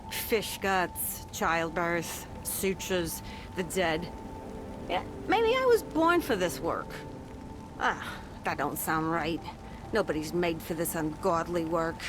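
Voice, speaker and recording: An actress playing a gruff, weary middle-aged small-town mother in a horror game, earthy, folksy and sardonic. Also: fairly low pitch, background noise. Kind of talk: video game character monologue.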